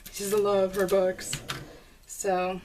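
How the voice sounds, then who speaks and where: A middle-aged woman chatting casually and telling animated, giggly stories while she works on a scrapbooking project. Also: medium pitch, slow, a little hiss or hum. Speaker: scrapbooking vlogger. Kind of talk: casual storytelling while crafting.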